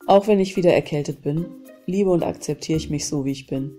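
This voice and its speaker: A woman with a cold hosting a self-help channel, calmly guiding a tapping session and repeating short phrases between named tapping points. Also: deep voice, a little fast, faint music behind. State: has a cold. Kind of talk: guided EFT tapping session.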